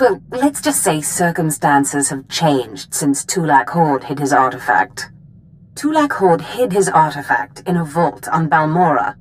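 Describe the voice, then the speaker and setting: A woman playing a commanding, scheming Sith master in a video game cutscene, speaking with deliberate authority. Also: fairly low pitch, a little hiss or hum, low-quality recording. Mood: pleased, wry. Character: commanding, scheming female Sith master briefing her apprentice.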